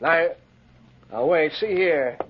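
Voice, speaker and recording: An actor playing a folksy, blustering ranch owner with an in an old radio drama. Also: male voice, a little high, takes it slowly, slight background noise. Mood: indignant. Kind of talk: old-time radio western acting. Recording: dull old radio recording, crowd behind.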